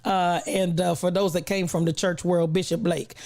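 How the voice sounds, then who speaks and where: A woman's voice: lowish voice, flat delivery, normal speed, a little mellow. Casting: female livestream host, casual and playful, openly affectionate and effusive, voice a little short of full as it recovers. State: recovering from a lost voice, not full voice. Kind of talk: casual livestream chat, greeting viewers by name.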